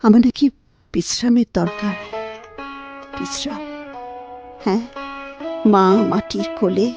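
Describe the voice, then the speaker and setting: A woman's voice: mid-range voice, slow pace. A woman acting a lonely, ailing wife in an audio drama. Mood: forlorn, resigned. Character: lonely, ailing, neglected wife, quietly despairing. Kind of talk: Bengali radio drama acting with monologue. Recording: guitar music behind the voice.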